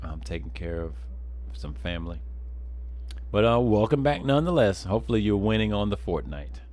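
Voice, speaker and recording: A man's voice: lowish voice, big pitch swings, normal speed, darkish tone, soft background music. A man live streaming a game, chatting casually with viewers in a folksy, rambling way, often repeating words.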